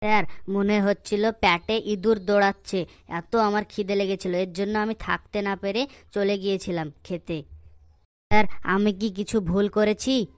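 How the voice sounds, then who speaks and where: A woman's voice: medium pitch, fairly even intonation, moderate pace, clean tone, no room sound, studio quality, pitched below the performer's own voice, lightly acted. A woman voicing a meek, naive schoolboy in a comedy cartoon, hungry and tired, explaining himself with excuses.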